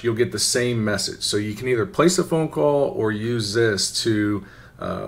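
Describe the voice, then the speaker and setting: A man giving an informal, conversational software tutorial, often checking in with a quick "right?". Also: fairly low pitch. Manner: conversational, instructional, often tagging phrases with "right?". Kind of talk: informal screen-share walkthrough. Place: voice-over for a screen recording.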